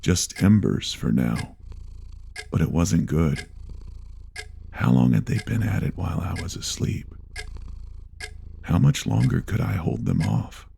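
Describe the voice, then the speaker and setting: A man reading a first-person horror story aloud in a slow, low and grave delivery. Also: gravelly, dark tone, a little hiss or hum. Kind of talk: scripted horror narration.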